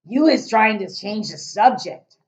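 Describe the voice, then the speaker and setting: Storybook giant, played by a woman: huge, boastful male, loud and booming, raised lively pitch, muffled recording. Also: unhurried, natural voice. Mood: loud, indignant. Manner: emphatic, shouting, mangled grammar and invented words. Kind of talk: children's storybook read-aloud.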